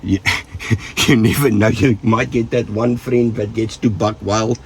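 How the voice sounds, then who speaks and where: A man's voice: on the low side, fairly even intonation, a little slow, slight background noise. A South African man with the flu, giving casual, joking safety tips to his viewers and rambling off with trailing asides. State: sick with flu, rough voice. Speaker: vlogger.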